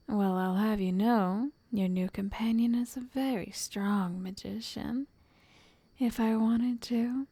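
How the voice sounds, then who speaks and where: A woman plays a doting, possessive witch in an intimate ASMR roleplay. Her voice is fairly high yet soft and breathy, mostly whispered. She coaxes slowly and sweetly, with menace underneath, in a very dry, close sound. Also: no room sound, exaggerated voice. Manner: slow, coaxing, intimate, addressing the listener.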